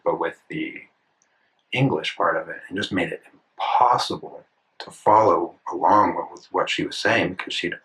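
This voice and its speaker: A man in his twenties talks as he sketches, his voice low but bright and forward. He rambles, restarts and corrects himself as he works through his thoughts. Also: rough recording. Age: 20s. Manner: rambling, self-correcting, thinking aloud. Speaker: art channel host. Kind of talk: casual talk while drawing. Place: indoors at a desk, drawing in a sketchbook.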